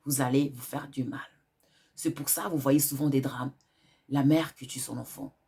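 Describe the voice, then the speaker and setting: A woman's voice: low voice, mellow, breathy edge. A woman hosting a wellness channel with a cold, giving earnest spiritual advice and repeating her points for emphasis. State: has a cold.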